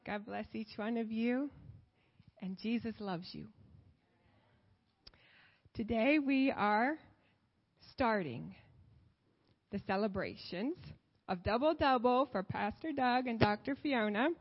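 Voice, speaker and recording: A woman making announcements to a church congregation, greeting them brightly from a distance from the mic. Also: fairly high pitch, takes it slowly, pauses often, slightly rough, highs cut off. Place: church sanctuary.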